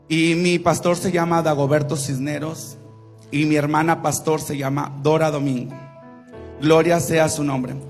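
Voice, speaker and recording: A man in his twenties preaching in Spanish, leading the congregation and praying fervently with repeated invocations. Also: a little high. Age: 20s. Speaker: guest preacher. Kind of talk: evangelical sermon and prayer.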